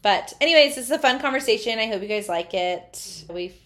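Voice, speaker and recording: A heavily pregnant woman in her twenties hosting a podcast, talking casually and brightly with frequent laughs. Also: mid-range voice, lively intonation, fairly quick. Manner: chatty, upbeat, giggly. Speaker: podcast host. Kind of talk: casual chat.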